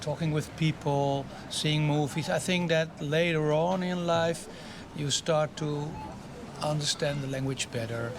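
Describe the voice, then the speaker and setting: A middle-aged man from the Netherlands, answering in a street interview, pausing to search for his words. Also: fairly high pitch, background noise.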